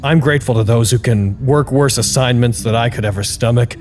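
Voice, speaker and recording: A man's voice: medium pitch, fairly quick, music in the background, lightly acted. A man playing an earnest, candid site staffer in an audio drama, speaking a reflective and wryly formal log to unseen superiors. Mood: reflective, grateful.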